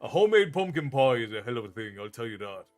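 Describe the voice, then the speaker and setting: Relaxed chatty streamer guy, irish accent with american mixed in, soft music behind. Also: mid-range voice, fast. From Ireland. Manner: relaxed, chatty, thanks viewers often. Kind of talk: casual chat with viewers.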